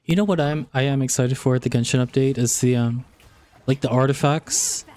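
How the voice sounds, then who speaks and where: A man's voice: mid-range voice, very animated, fairly quick, a little mellow. A man chatting casually as a gaming livestreamer, peppering his talk with "like". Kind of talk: casual gaming stream chat. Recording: music playing behind. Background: background music.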